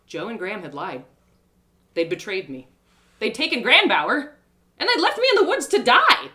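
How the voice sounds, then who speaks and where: A woman's voice: fairly high pitch, very animated, moderate pace, bright, forceful tone. A woman voicing a naive, plucky young female adventurer telling her own story in an audio drama, dramatic and exclaiming. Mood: hurt disbelief. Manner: dramatic, exclaiming, stammering in distress.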